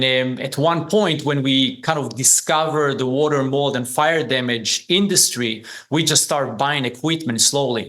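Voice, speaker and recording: A man's voice: mid-range voice, a bit bright. Man with an Israeli accent. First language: Hebrew. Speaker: podcast guest, business founder. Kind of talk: conversational interview storytelling.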